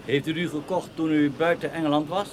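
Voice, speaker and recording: An actor playing a polite, formal customs officer in a radio play. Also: male voice, fairly high pitch, steady tone, fairly quick, natural voice. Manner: courteous, official. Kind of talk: Dutch radio play acting. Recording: slightly noisy radio drama recording.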